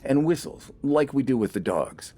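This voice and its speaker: A man's voice: medium pitch, very animated, normal speed, mellow, slight background noise, voice pushed up, natural voice. A man voicing a nervous, humble young farmhand conscript in an audio story, hesitant and stammering. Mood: sheepish, nervous.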